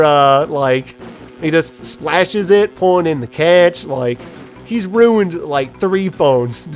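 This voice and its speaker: A gaming video host giving casual gameplay commentary, telling personal stories in a rambling, halting way with quoted asides. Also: mid-range voice. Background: game music.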